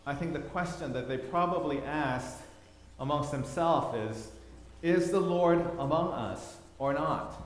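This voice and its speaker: A man from Thailand giving a sermon with slides, telling the story with repeated words for emphasis. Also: medium pitch. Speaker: preacher. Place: church hall. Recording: echoey hall, long reverb.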